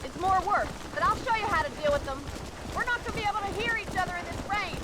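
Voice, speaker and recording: A tough young American rancher in a Western game. Her voice is very high, her manner blunt and practical. Loud outdoor noise surrounds her. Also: fast talker. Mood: practical, brisk. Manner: blunt, plain-spoken, practical. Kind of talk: video game voice acting.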